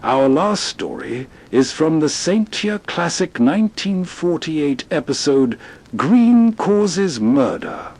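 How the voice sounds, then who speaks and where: A man's voice: fairly high pitch, big pitch swings, takes it slowly, a little mellow. A man hosting with soothing scripted narration in a bedtime storytelling style. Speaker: host narrator.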